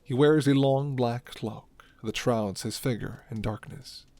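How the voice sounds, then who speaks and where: A man's voice: mid-range voice, unhurried, darkish tone. A man narrating a scripted ASMR roleplay story.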